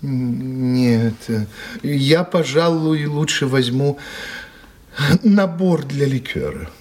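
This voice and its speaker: An actor playing a polite, romantic young gentleman in an audio drama, with a husky voice and a hesitant, wry manner. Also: medium pitch, sing-song, slow, slight background noise.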